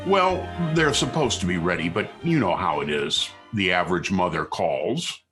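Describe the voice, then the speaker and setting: A man's voice: low-pitched, expressive, normal speed. A man announcing and narrating a 1940s radio show in a formal, theatrical way. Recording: 1940s radio recording with music.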